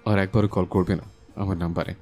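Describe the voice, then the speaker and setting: Casting: male actor as passionate, protective young husband for romantic audio drama; low voice, emphatic delivery, music underneath. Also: level tone, fairly quick, dark tone, natural voice. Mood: furious, scolding. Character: hot-tempered young husband, fiercely protective, tender with his wife. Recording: voice over a music bed.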